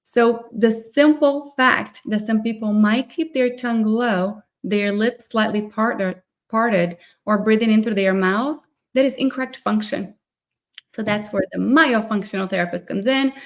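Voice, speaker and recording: A woman's voice: mid-range voice, a little slow. A woman hosting a live podcast interview, explaining her field fluently and upbeat. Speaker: podcast host, myofunctional therapist.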